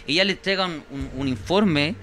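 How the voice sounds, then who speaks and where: A Chilean man with a slightly raised pitch speaks insistently into a handheld mic in a small studio. He stacks questions and repeats himself as he hunts for words. Faint music sits under the talk. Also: normal speed, sharp tone. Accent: Chilean Spanish. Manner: insistent, indignant, repeats words while searching. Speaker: guest, victim's brother. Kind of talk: studio interview.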